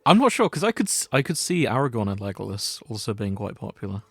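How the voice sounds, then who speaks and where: A man's voice: fairly low pitch, very animated, quick pace, slightly rough, no room sound. Man bantering casually, very dry recording. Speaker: podcast host.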